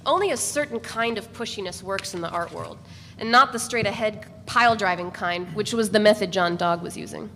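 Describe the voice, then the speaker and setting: A woman's voice: medium pitch, a little fast, fairly bright tone. A woman giving a dramatic reading of a novel as a first-person narrator. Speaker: reader of a novel. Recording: echoey hall, faint background noise.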